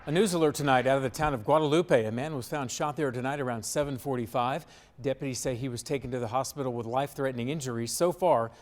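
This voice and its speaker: American male TV news anchor. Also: mid-range voice. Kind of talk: scripted TV news reading.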